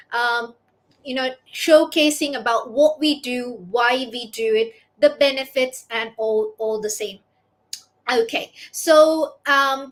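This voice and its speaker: A woman with a distinctly high-pitched voice hosts an online interview. She talks in a chatty, conversational way as a business coach, pausing and doubling back on words as she goes. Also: fairly bright tone, poor audio.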